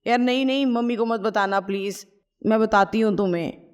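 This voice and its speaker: Woman voicing a gentle, apologetic young wife. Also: fairly high pitch, a little fast, clear, resonant tone, lightly acted. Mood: pleading. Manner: soft, apologetic. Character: young wife, gentle, tearful daughter-in-law.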